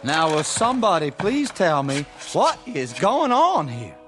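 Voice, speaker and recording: A man's voice: a little high, very animated, measured pace. A young man with a Southern American accent playing a bewildered, indignant country fellow in a radio drama. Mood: bewildered, irritated. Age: young adult. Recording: music and crowd behind.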